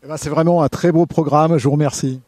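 A man's voice: fairly high pitch, fairly even intonation, fast talker, a little mellow, dry recording. A man hosting a radio interview, asking questions in a light, friendly way. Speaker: radio host.

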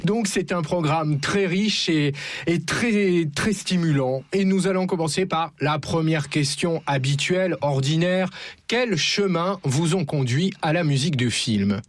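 Florid male radio host, higher-pitched voice. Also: unhurried. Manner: florid, theatrical, effusively courteous. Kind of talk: ornate scripted introduction, then interview.

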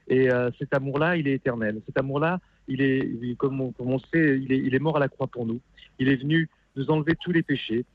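A man's voice: mid-range voice, fairly even intonation, dry recording. A man speaks over a slightly dull phone connection with no room sound. His mid-range voice gives an earnest testimony, often doubling words as he looks for the next one. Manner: halting, repeats words as he searches. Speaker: phone-in caller, funeral worker. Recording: dull band-limited phone call.

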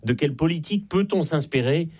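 A man with a Belgian French accent speaks as a guest on a radio show. He explains in a measured, emphatic way, building points step by step. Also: on the high side, animated, a little mellow. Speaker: author guest. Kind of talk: radio interview.

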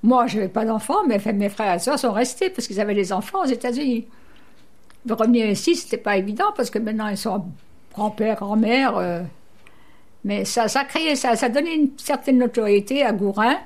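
Older woman from Brittany, interview guest; chatty, rambling storyteller, unhurried anecdotes. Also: fairly high pitch. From Brittany, France. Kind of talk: radio interview, anecdotal chat.

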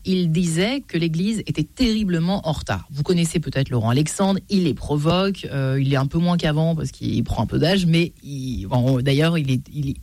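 A man's voice: fairly high pitch, a little mellow, no echo. A man hosts a talk show with playful questions. His voice rises and falls a great deal. The room sounds dry. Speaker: host.

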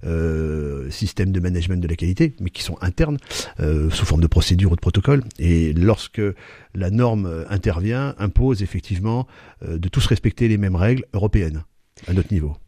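A man with a low, mellow voice answers interview questions about his company. He speaks haltingly, full of fillers and restarts. The sound is dry and close. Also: expressive, slightly rough, no room sound. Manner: hesitant, many fillers and restarts. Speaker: company executive, guest.

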